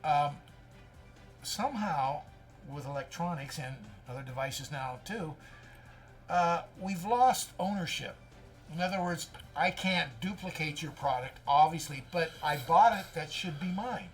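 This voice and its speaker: A man with a slightly high voice chats as a co-host. His delivery is plain-spoken and a little halting, leaning hard on the points he wants to make in a casual, opinionated discussion. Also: soft background music. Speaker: co-host of a livestream news show.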